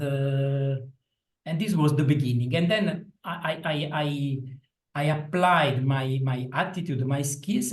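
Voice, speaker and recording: A man's voice: on the high side, darkish tone, rough recording. A middle-aged man with an Italian accent, answering interview questions with many fillers and restarts. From Italy. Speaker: interview guest, internal coach. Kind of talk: conversational interview.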